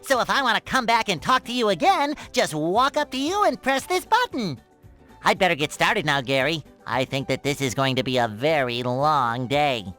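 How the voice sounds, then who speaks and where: A man's voice: on the high side, big pitch swings, moderate pace, pushed and bright. A man voicing a childlike, eager and naive sea sponge in a cartoon video game, earnest and gleeful. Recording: music bed behind voice.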